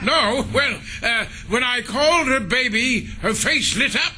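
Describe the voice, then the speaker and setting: Shrill, pompous hammy poet. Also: male voice, animated, a little slow, pushed and bright, exaggerated voice. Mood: pompous, playful. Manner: pompous, theatrical declaiming. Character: pompous hammy street poet reciting silly verse. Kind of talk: old-time radio comedy acting.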